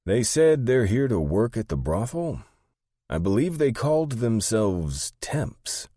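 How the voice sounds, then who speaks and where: An audiobook underling, acted by a man narrator, puzzled and inclined to whine. The voice sits well above a deep narrating register, in a close, dry recording. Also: very animated, slow pace, mellow, no echo, full character voice. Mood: puzzled, reporting. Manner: whining. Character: Sandy, puzzled whiny underling reporting to the boss.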